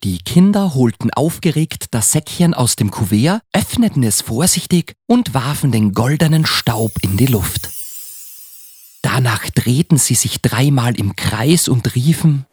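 A school-age child narrates a Christmas radio play for children as a scripted storybook. The voice moves in lively swings of pitch with a slightly husky, rough edge, recorded close and dry. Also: unhurried, no room sound. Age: child, about ten.

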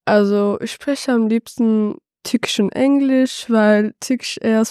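A student whose first language is Turkish talks in a mellow, dark tone, looping back and restarting her sentences as she goes. The recording is dry and close. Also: a little high, steady tone, unhurried, clear, resonant tone, no echo. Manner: rambling, restarting phrases. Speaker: student interviewee.

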